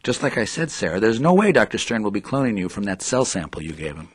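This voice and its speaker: A man's voice: lowish voice, sing-song, quick pace, breathy edge, dry recording. An actor playing a skeptical, probing, dryly sarcastic male reporter in an audio drama. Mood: sardonic.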